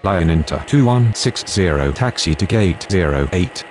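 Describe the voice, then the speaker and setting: A man's voice: deep voice, animated, normal speed. A man playing a pilot and air traffic controller, giving formal, clipped radio callouts in standard aviation phraseology. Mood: businesslike.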